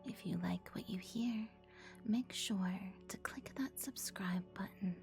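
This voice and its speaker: A woman whispering a guided ASMR meditation in a soothing, deliberate, instructional manner. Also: mid-range voice, gravelly, darkish tone. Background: music.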